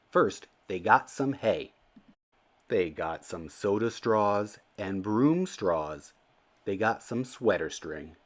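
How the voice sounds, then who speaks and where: A man reading a children's storybook aloud as narrator, in an expressive and deliberate storytelling manner. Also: fairly low pitch. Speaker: children's book reader.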